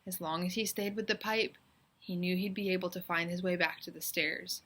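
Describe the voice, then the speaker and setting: A woman reading aloud as a teacher, in expressive, deliberate and suspenseful storybook narration. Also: lowish voice.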